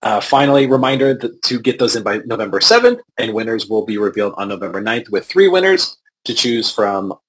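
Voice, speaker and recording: A man's voice: medium pitch, very animated, a little fast, slightly rough, low-quality recording. A man hosting an online event, wrapping up with effusive thanks and announcements over a video call. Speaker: event host. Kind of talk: wrap-up announcements.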